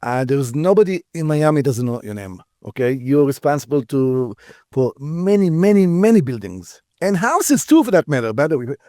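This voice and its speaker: A man hosting an interview podcast, effusive and flattering, cutting in with quick remarks. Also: on the high side, no room sound, studio quality. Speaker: podcast host. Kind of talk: interview conversation.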